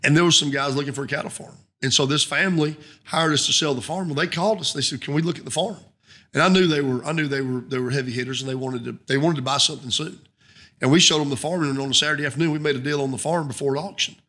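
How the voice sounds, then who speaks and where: A middle-aged Southern American man talks quickly and conversationally as a podcast guest. He explains his business in a folksy way, often circling back to rephrase, close on a studio microphone. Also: on the high side, fairly even intonation, pushed and bright. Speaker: guest, auctioneer. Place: indoor podcast studio at a round table. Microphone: boom-mounted podcast microphone up close.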